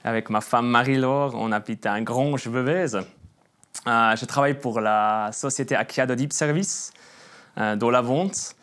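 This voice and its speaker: A man's voice: mid-range voice, unhurried, a bit bright, clean tone. A man in his twenties from Switzerland with a Swiss German accent and a Swiss German mother tongue, giving a faith testimony in an interview, hesitant, with many fillers and restarts. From Emmental, Bern, Switzerland. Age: 20s.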